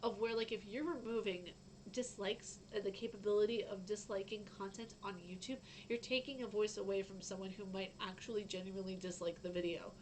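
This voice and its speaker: Chatty low-voiced woman streamer. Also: fairly even intonation, brisk, a little mellow, a little hiss or hum, rough recording. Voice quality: fairly low-sounding voice. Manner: chatty, rambling, frequent fillers and self-interruptions. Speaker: gaming news streamer. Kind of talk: casual live-stream chat.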